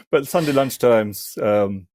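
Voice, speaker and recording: A man's voice: mid-range voice, darkish tone, dry recording, studio quality. A middle-aged man, an academic podcast guest, chatting and reminiscing with hesitant, thoughtful phrasing. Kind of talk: casual reminiscing and discussion.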